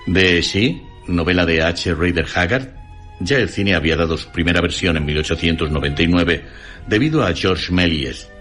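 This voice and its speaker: A deep-voiced man with a Castilian accent narrates a scripted radio piece. His delivery is formal and measured, and soft music plays faintly beneath him. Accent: Castilian Spanish. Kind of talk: scripted narration, literary essay. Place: radio studio.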